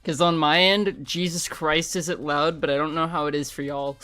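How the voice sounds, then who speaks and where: A woman's voice: deep voice, steady tone, normal speed, a bit bright. A young woman livestreaming a game, chatting casually with viewers; she is congested with a sore throat, laughs often and swears freely. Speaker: streamer. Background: faint game music.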